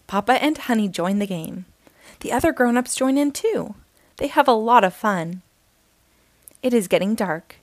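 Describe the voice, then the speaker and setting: A woman hosting a storytime, reading a children's picture book aloud slowly and expressively. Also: fairly high pitch, no room sound. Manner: slow, expressive, sing-song reading.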